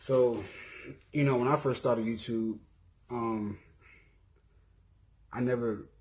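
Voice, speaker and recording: A man's voice: medium pitch, fairly even intonation, slow, darkish tone, rough recording. A man in his twenties from Mississippi with a Southern accent, casually introducing himself on his video channel, rambling with many ums and self-corrections. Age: 20s. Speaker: YouTuber.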